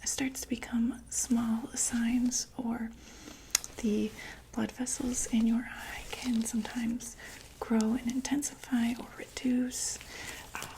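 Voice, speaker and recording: A young woman whispering softly as a doctor in a close-miked ASMR roleplay, reassuring and full of small fillers. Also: on the high side, little pitch movement, noisy background. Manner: soft, slow, reassuring bedside whisper with many fillers. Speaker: ASMR creator playing a monster doctor.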